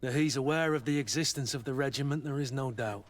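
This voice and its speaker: An actor playing a gruff, loyal mercenary master of arms in a video game, brooding, plain-spoken and sardonic. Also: male voice, medium pitch, fast talker, lightly acted. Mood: grimly determined. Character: gruff, battle-worn male mercenary master of arms, guilt-ridden, loyal. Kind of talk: video game dialogue acting.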